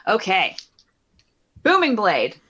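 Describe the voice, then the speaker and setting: A woman takes her turn in a tabletop game with casual, jokey talk. Her pace is slow and unhurried, and her voice sits at a medium female pitch. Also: huge pitch swings, a bit bright, breathy edge, lo-fi sound. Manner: playful, jokey. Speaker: player.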